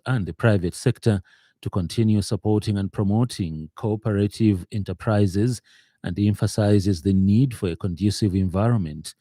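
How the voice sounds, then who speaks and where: A Zambian man reads the radio news in a low, very mellow voice. The delivery is a scripted bulletin, recorded close and dry in a studio. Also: no echo. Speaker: radio newsreader.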